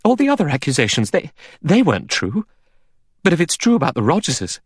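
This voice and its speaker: A man voicing an anxious, highly strung young woman in an audiobook, urgent and faltering, close to panic. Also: wildly swooping pitch, brisk, breathy edge, no room sound, full character voice. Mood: shocked, urgent.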